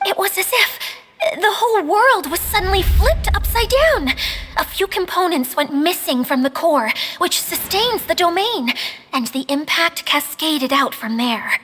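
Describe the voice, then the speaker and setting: A woman's voice: extremely high pitch, lively intonation, fairly bright tone, slight background noise, exaggerated voice. A woman voicing a flustered, self-mocking young female domain keeper, dramatic in a video game cutscene. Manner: dramatic, quick swings into embarrassed panic. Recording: game dialogue over music.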